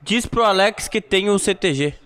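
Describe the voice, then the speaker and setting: A man's voice: sits high, animated, moderate pace, a bit bright, smooth. A man hosting a podcast from southern Brazil, introducing guests and teasing them in casual chat. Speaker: podcast host.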